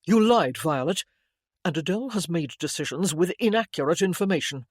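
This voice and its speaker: Narrator playing a stern, brooding gentleman. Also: mid-range voice, animated, brisk, dry recording, clean studio sound, close to an everyday voice. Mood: cold, stern. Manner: curt, commanding.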